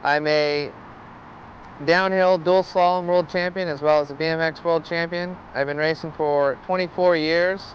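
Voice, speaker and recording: A man's voice: fairly high pitch, fairly even intonation, normal speed, muffled recording, a little hiss or hum. A man in his late twenties from California, a pro racer introducing himself with a self-mocking touch. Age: 20s.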